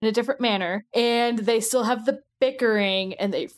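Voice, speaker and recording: Higher-voiced woman in casual podcast chat. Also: a little fast. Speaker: podcast co-host.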